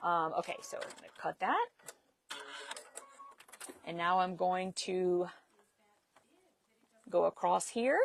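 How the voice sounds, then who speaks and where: A woman's voice: fairly low pitch, lively intonation, slow pace, gravelly, pauses often, a little hiss or hum. Chatty woman teaching a sewing project. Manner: chatty, laughs easily, talks while working. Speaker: sewing instructor. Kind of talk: live-stream sewing demonstration.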